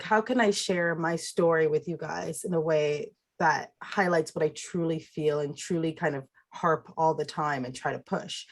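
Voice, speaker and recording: A woman with a low voice, a podcast guest speaking reflectively and in imagery during a casual interview. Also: slightly rough.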